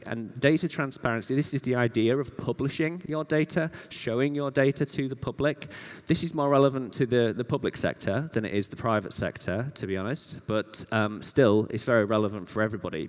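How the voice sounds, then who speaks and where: A man giving an informal, explanatory conference presentation with emphatic repetition. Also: medium pitch. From Yorkshire, northern England. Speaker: conference speaker, data scientist. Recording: reverberant hall sound.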